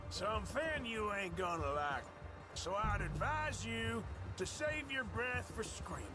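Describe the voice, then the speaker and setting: A menacing outlaw gunslinger, speaks in a gruff American drawl. His video game lines sit under loud music, with a fairly high pitch for a man. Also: big pitch swings, a little slow. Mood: coldly menacing. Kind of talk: video game character acting.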